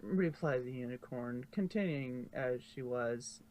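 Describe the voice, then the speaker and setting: A man's voice: mid-range voice, a little slow, warm tone. Man reading fanfiction, noticeable background noise. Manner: informal, stumbles and corrects himself. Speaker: fanfiction narrator. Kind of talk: storybook narration read aloud. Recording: noisy home recording.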